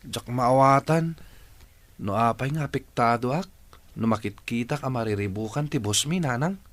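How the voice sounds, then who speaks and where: A man's voice: mid-range voice, normal speed, fairly bright tone, lightly acted. An actor playing an earnest, plaintive man in an Ilocano radio drama. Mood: troubled, confused.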